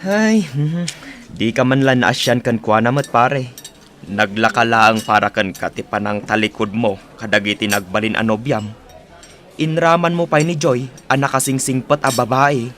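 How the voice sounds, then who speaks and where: A frank male buddy played by an actor in an Ilocano radio drama. His medium-pitched voice is disapproving and scolding, with an Ilocano Filipino accent. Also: moderate pace, fairly bright tone, natural voice. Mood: reproachful.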